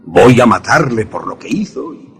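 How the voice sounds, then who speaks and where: An actor playing a vengeful, coldly polite mad professor in a radio suspense drama, speaking formally. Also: male voice, fairly low pitch, sing-song. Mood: quiet menace. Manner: formal, measured, sardonic.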